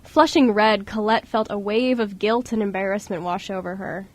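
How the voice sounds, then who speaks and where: A woman's voice: medium pitch, normal speed. A woman reading scripted story narration as narrator of an amateur radio play. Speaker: radio show co-host and narrator.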